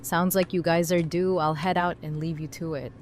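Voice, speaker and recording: A woman acting a wry, warm-hearted best friend in an audio drama, casual and joking. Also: fairly low pitch, steady tone, normal speed, slight background noise, lightly acted. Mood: teasing, kind.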